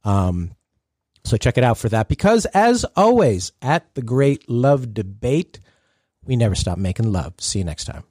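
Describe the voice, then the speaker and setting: A man's voice: mid-range voice, very animated, unhurried, a little mellow, slightly rough, dry recording, clean studio sound. A man hosting a podcast in casual chat, joking and laid-back, often trailing off mid-sentence. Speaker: podcast host.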